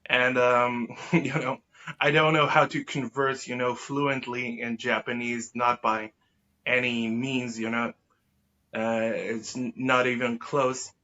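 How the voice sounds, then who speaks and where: A man from Israel, a native Hebrew speaker, talks as an interview guest. His voice is bright and forceful, with little melodic movement. He uses formal, wordy phrasing and corrects himself as he goes, through slightly muffled, lo-fi audio. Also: mid-range voice. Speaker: podcast guest. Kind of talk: conversational interview answers. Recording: dull lo-fi sound.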